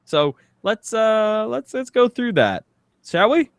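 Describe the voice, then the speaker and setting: A man's voice: high-pitched, sing-song, slow pace, smooth, dry recording. A man hosting a comedy podcast, bantering casually and teasingly and reading things out for laughs. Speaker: podcast host.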